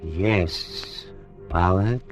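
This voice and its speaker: Vengeful phantom, low voice, extremely slow drawl, gloating, old radio thriller, music behind. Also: male voice. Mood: gloating menace. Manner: slow, drawn-out, menacing. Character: gloating vengeful phantom of a cursed dead man. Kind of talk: 1940s radio thriller acting.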